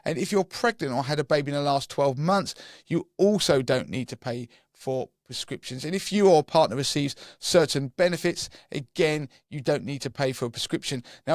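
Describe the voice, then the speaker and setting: Croaky hoarse Englishman explaining finances. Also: medium pitch, no echo, studio quality. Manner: chatty, explains step by step with restarts. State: hoarse, croaky from losing his voice. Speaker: podcast co-host, personal finance expert. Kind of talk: casual podcast explanation.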